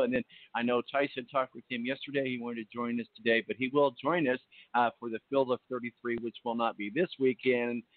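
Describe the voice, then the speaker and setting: A man hosting a sports radio show, talking casually in a halting, chatty way. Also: medium pitch, dry recording. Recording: phone-like, narrow-band dry line.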